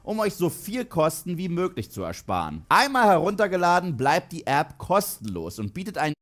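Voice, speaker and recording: Male video critic, thirties, higher voice with very animated intonation, emphatic, tongue-in-cheek scripted delivery. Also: moderate pace. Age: 30s. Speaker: online film critic and presenter. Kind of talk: scripted video commentary to camera. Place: indoor studio with dark backdrop.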